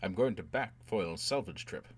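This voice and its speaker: A man voicing a smug, coolly greedy surgeon with a dry, measured manner in a science-fiction story. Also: lowish voice, lively intonation, husky.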